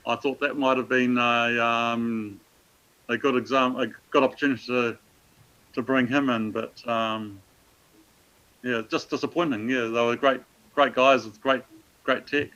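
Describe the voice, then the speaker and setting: A man's voice: medium pitch, sharp tone, highs cut off. A man, a guest on a live streaming show, chatting on a video call with many fillers and restarts. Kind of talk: casual video call chat.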